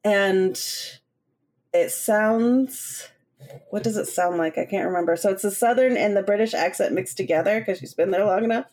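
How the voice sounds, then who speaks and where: A woman's voice: medium pitch, moderate pace, darkish tone. A young woman co-hosting a casual podcast, easygoing and a bit hesitant, reading out a listener letter. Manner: easygoing, hesitant, backtracks while telling. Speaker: podcast co-host.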